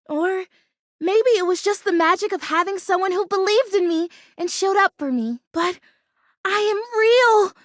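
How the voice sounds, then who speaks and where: Quirky female plastic plant game character, very high voice, gushing, perky, sincere, close dry sound. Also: fairly bright tone, no echo, heavily acted. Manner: bubbly, gushing, earnest, quirky. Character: kooky, perky, earnest female plastic houseplant come to life. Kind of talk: video game character voice acting.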